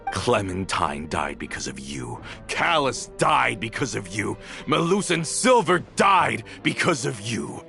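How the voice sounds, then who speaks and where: A man's voice: on the low side, sing-song, a little slow, creaky, few pauses, bright, forceful tone, soft background music, exaggerated voice. An actor playing a bitter, vengeful male traitor in a video game, scornful, dramatic and accusing. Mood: anguished fury.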